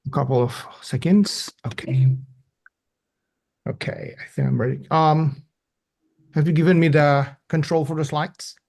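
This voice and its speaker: A man's voice: a little high, steady tone, measured pace, gravelly, long pauses, a little mellow. A man from Indonesia giving an informal conference talk online as an academic presenter, casual and self-deprecating. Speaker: academic presenter, associate professor. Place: online video call.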